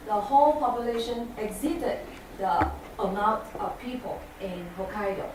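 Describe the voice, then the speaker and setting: A woman's voice: fairly high pitch, a little slow. A Singaporean woman whose first language is Chinese, giving a talk to an audience in English, with many hesitant fillers. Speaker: guest speaker. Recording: echoey hall from far off, noisy.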